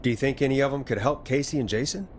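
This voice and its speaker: An actor playing a composed, caring late-night radio host in a video game, speaking in a steady, conversational way. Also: male voice, mid-range voice, fast talker, slight background noise, natural voice. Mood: wry, determined. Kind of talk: natural video game voice acting.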